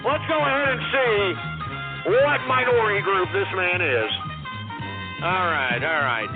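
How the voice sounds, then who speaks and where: A male radio host taking calls on a call-in show, ranting and shouting exclamations. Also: high-pitched, a little slow. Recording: lo-fi narrow-band broadcast with faint music.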